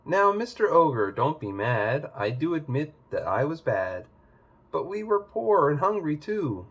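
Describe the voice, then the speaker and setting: A man's voice: on the high side, very animated, unhurried, fairly bright tone, husky, pitched above the performer's own voice, heavily acted. A man voicing a cheeky, apologetic, coaxing young lad in a storybook tale. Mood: pleading.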